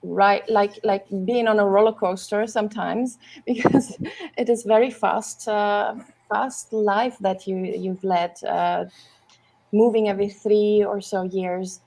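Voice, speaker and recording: A woman's voice: medium pitch, steady tone, takes it slowly, vocal fry, darkish tone. A woman hosts an interview in a mid-range voice. She reacts to her guest and jokes lightly between questions. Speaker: podcast host. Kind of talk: interview hosting.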